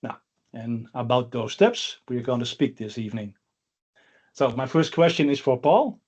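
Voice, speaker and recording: A man from the Netherlands hosting an online panel, putting steady, plain questions to his guests. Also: medium pitch, moderate pace. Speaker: host and consultant.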